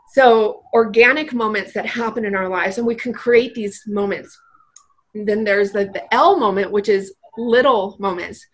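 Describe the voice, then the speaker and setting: A woman's voice: mid-range voice, a little hiss or hum, rough recording. A woman from Vietnam, a guest author, talking earnestly and animatedly in a casual interview, with frequent fillers. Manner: earnest, animated, heavy use of "like".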